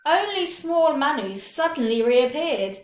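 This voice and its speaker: Slow, expressive woman storyteller. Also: sits high. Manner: deliberate, dramatic phrasing with pauses. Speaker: teacher reading a short story. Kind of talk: expressive scripted story narration. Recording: roomy, echoing room.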